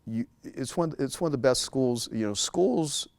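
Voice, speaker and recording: A man's voice: mid-range voice, expressive, moderate pace, gravelly, a little mellow, no echo. A man speaking as a guest in a casual interview, talking emphatically and repeating key words to drive a point home.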